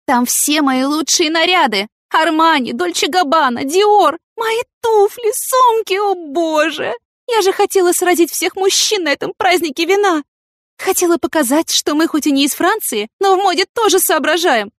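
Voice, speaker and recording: A woman's voice: very high voice, animated, normal speed, no room sound, clean studio sound, voice pushed up. A woman voicing a vain, impulsive, dramatic young woman in an audiobook, with theatrical, indignant exclamations. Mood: frantic, wailing. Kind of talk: audiobook character acting.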